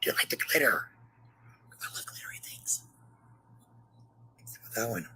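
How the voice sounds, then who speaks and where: A man's voice: mid-range voice, expressive, moderate pace, sharp tone, slightly rough, in a whisper. A man chatting casually and playfully to his viewers in short remarks. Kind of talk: casual playful livestream chat.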